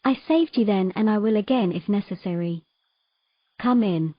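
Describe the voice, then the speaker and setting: A woman's voice: medium pitch, normal speed, dry recording, natural voice. Lady acting as a composed fantasy mermaid, frank n teasing, coaxing, dry kinda dull recording. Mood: teasing, firm. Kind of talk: audio drama acting.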